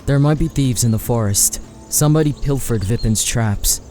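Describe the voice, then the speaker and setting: A man playing a cautious, practical husband and father in a fantasy audio drama, measured and questioning. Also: medium pitch, warm tone, soft background music, close to an everyday voice. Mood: concerned.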